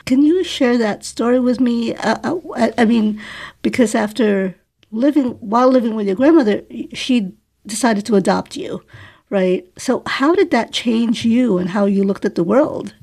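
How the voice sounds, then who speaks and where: A woman's voice: fairly high pitch, moderate pace, vocal fry, darkish tone. A woman hosting a podcast who asks her guest questions and often murmurs encouragement while she listens. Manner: encouraging, with frequent backchannel murmurs. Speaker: podcast host. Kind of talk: interviewing a guest.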